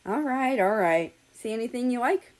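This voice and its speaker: A woman's voice: fairly high pitch, expressive, moderate pace, pitched above the performer's own voice, natural voice, low-quality recording. Woman reading as an easygoing, teasing male road-tripper. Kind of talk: character dialogue in a story reading.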